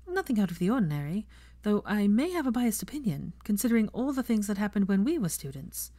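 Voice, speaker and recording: A woman's voice: medium pitch, sing-song, quick pace, mellow, voice pushed up. A woman voicing a kindly, no-nonsense young woman in an audiobook, earnest and articulate. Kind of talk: fan fiction audiobook character voice.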